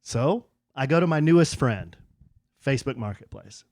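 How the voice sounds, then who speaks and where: A man's voice: mid-range voice, normal speed. A middle-aged man spins a casual yarn on a podcast, and his voice jumps around as he acts out what people said. He sits close to the mic in a dry, dead room with no echo. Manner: animated storyteller, acts out quoted dialogue. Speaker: podcast co-host. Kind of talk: casual storytelling chat.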